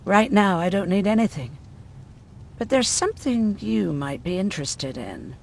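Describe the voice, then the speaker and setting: An actress playing a gruff, sardonic, world-weary woman medic who survived a prison camp, in a dramatic video game style. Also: medium pitch, big pitch swings, moderate pace, a little mellow, a little hiss or hum. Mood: matter-of-fact.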